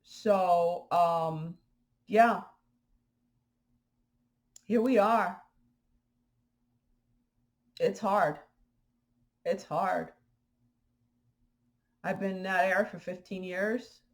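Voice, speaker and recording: A woman from Haiti talking casually to her viewers in a vlog, animated and indignant, with fillers and restarts. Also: fairly low pitch, drawn-out words, pauses often. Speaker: spiritual vlogger. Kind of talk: casual spoken commentary to viewers.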